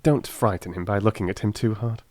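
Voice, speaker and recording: A man's voice: fairly low pitch, fairly quick, darkish tone, dry recording, close to an everyday voice. A man voicing a brilliant, confident detective in a dramatised audiobook, with theatrical, emphatic delivery. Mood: brisk, commanding. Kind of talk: dramatised audiobook character acting.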